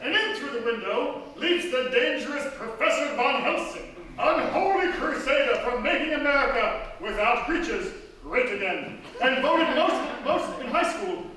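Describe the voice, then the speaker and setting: Man as a high, melodramatic radio-play announcer. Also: animated, measured pace, few pauses, crowd noise. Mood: dramatic. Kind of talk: live comic scripted narration. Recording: echoey theatre, distant, noisy.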